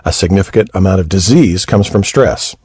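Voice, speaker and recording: A man, a pastor joining a radio show as guest co-host, talking calmly and earnestly. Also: low voice, brisk, slightly rough, dry recording, clean studio sound. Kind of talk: casual radio chat.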